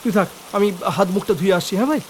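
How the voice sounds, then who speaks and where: A man's voice: sits high, rapid-fire, slight background noise, natural voice. A man voicing an earnest, hardworking village elder brother in a cartoon moral story. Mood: weary.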